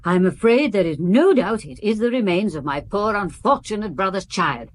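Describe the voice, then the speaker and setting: An actress playing a proud, gossiping middle-aged provincial aunt in a radio drama, talking in a prim, insistent, confiding way. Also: female voice, medium pitch, animated, moderate pace, lightly acted. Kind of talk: 1990s BBC radio drama acting.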